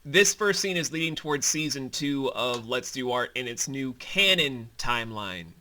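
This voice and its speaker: A man's voice: mid-range voice, lively intonation, normal speed, pushed and bright. A man giving casual behind-the-scenes commentary as creator and host, informal and emphatic, with some swearing.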